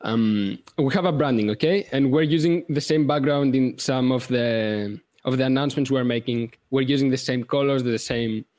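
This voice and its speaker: A man's voice: mid-range voice, moderate pace, hardly any pauses, a little mellow. A man from Greece with a Greek accent, calling in with hesitant questions and checking in with "okay?". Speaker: caller. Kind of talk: casual questions on a call.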